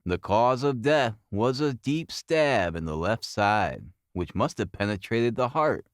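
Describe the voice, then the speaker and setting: A man voicing an earnest, methodical Victorian police detective in an audiobook, giving his report in formal, deliberate storytelling. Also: medium pitch, lively intonation, no room sound, studio quality, lightly acted. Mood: grave, tense.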